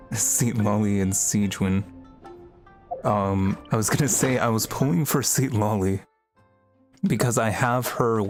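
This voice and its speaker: A game livestreamer giving casual, rambling, conversational commentary over a game. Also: medium pitch. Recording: game audio and music behind. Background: game dialogue and music.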